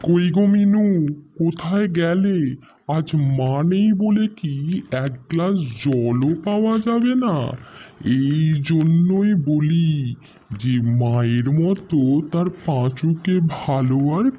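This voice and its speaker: A man voicing a simple-minded young village husband who calls out loudly and pleadingly. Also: on the high side, lively intonation, slow. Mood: calling. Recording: dull lo-fi with faint noise.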